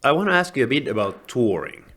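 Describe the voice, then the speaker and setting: A man interviewing a musician, asking long, relaxed questions. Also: medium pitch, quick pace, a little hiss or hum. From Finland.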